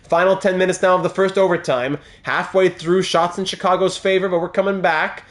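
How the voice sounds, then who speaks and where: A man's voice: sits high, fairly quick. Chatty male hockey game streamer. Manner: chatty, reads chat aloud, excited calls of game plays. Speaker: gaming streamer playing a hockey franchise mode. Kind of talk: live-stream commentary over gameplay.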